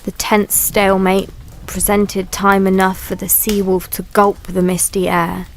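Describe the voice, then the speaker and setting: A woman's voice: medium pitch, slight background noise, rough recording. A woman reading scripted story narration for a radio play.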